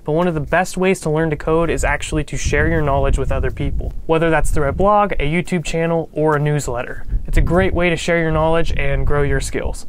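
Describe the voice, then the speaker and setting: A man's voice: fairly high pitch, slight background noise. A young man with a nasal voice from a cold, hosting a casual coding tutorial with upbeat talk and joking asides. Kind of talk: casual instructional talk to camera.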